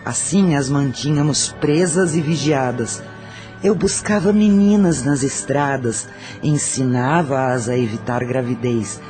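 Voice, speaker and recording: A man's voice: mid-range voice, a little slow, a little mellow, faint music behind. A man with a Brazilian accent narrating a scripted first-person audio story.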